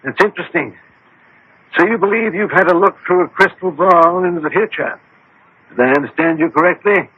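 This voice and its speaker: An actor playing a scholarly, unflappable detective, skeptical and wry, with formal, measured delivery in radio drama style. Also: male voice, sits high, sharp tone, slightly rough, highs cut off, exaggerated voice. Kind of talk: 1980s radio drama acting. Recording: old radio drama broadcast.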